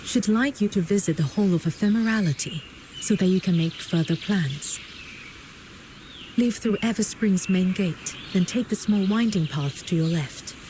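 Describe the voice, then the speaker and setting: A woman playing a composed, gracious but guarded proprietress in a video game, speaking in a formal, measured way. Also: mid-range voice, dark tone, slight background noise, lightly acted. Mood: gentle, composed. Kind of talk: video game character voice acting.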